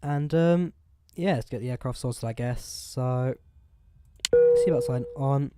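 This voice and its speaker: A hoarse man with flu chats his way through a flight-sim session, explaining every switch and setting in a middle-pitched voice. Loud engine hum and noise sit under his voice the whole time. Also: takes it slowly. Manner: chatty, explains each step as he goes. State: sore throat, hoarse from flu. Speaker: flight simulator gameplay host. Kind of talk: casual gameplay commentary.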